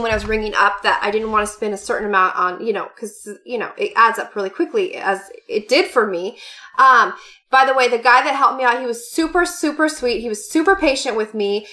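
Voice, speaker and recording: Bubbly bright-voiced woman in her late 30s. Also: fairly high pitch, a little fast. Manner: bubbly, gushing, many fillers and "you guys". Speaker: haul vlogger. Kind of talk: casual chatty product haul. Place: home room with party decor, indoors.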